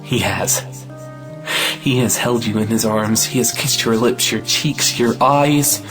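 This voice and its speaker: A man's voice: mid-range voice, slow, over music, lightly acted. Passionate young male suitor, acted by a man, anguished and jealous, for an old-fashioned stage romance in audio. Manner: earnest, anguished. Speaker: actor in an audio play. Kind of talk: melodramatic audio drama acting of a 1917 play.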